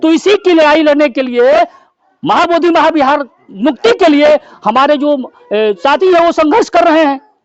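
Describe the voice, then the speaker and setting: High-pitched man giving a campaign speech, dull audio. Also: normal speed, dry recording. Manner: didactic, explanatory, with rhetorical questions. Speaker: activist speaker.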